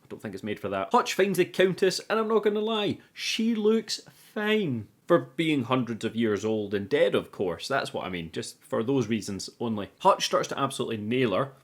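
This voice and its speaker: A man's voice: mid-range voice, sing-song, moderate pace, hardly any pauses. A young man giving a casual, sweary movie recap as a review host, chatty and irreverent with cheeky asides. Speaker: host of a film review channel.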